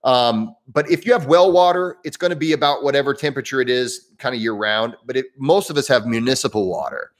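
A man in his thirties from southern Indiana, with a southern Indiana Midwestern accent, hosting a casual live Q&A stream from a room at home on a desk microphone and talking in a chatty, animated way. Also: medium pitch, fairly quick, few pauses. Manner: chatty and animated, frequent self-restarts and laughs, gestures with hands. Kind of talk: casual live-stream talk answering viewer questions. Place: home room, indoors, medium size. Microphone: desk microphone in front, webcam framing.

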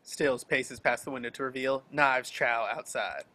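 Bright-voiced man reading stage directions. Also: medium pitch, moderate pace. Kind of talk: scripted action narration at an amateur table read. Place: home, group video call.

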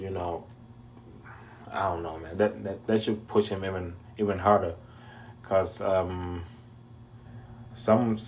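A man in his thirties chats loosely to his webcam from a small room at home, his voice sitting a little low. He rambles along, leaning on fillers and loose asides as he reacts. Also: darkish tone, slight background noise. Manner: laid-back, rambling, many fillers. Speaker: reaction video host. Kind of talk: casual reaction commentary.

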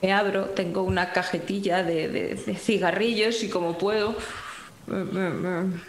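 An echoey, slightly noisy room recording of a woman from a Spanish role-playing game, with a Spain accent. Her voice sits at a middle pitch. She improvises actions and dialogue in a halting way, adding spoken sound effects. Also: steady tone. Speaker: tabletop role-playing player. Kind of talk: improvised in-character play and first-person action narration. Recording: echoey room, clear background noise.

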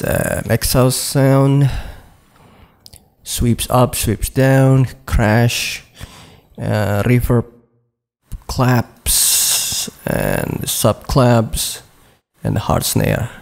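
A man with a gravelly voice and a dark tone walks through a production session at an unhurried pace. He pauses often and fills the gaps with "uh" and "okay", in a plain tutorial way. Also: medium pitch. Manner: slow, hesitant walkthrough with frequent "uh" and "okay". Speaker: music producer. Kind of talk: screen-recorded production tutorial.

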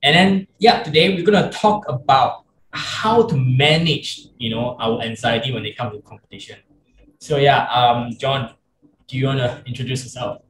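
A man's voice: mid-range voice, normal speed, fairly bright tone. A young man, hosting a casual podcast over a video call, easygoing and full of "you know". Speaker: podcast host. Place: small room indoors. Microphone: video call webcam. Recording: roomy echo on a video call.

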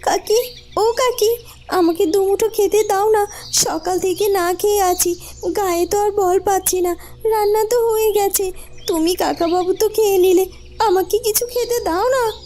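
A woman's voice: extremely high pitch, measured pace, dark tone. A woman voicing a poor, meek, hungry orphan village girl in a cartoon, pleading and submissive. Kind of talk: cartoon story acting.

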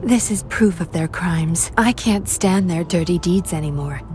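A woman's voice: fairly low pitch, a little slow, natural voice. An actress playing a determined young female undercover agent in a video game. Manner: earnest, resolute. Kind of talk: game voice acting. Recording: game audio over music.

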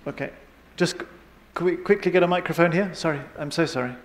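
A man's voice: fairly high pitch, quick pace. An older man moderating a live discussion on the stage of a large auditorium. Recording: live hall with audience noise. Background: audience murmur and noise.

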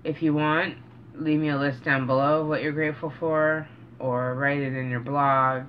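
A middle-aged man talking casually and reflectively to camera as a vlogger, earnest and halting, pausing mid-sentence, in a small room indoors. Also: fairly high pitch, little pitch movement. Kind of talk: casual, reflective vlog talk. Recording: dull, noisy home recording.

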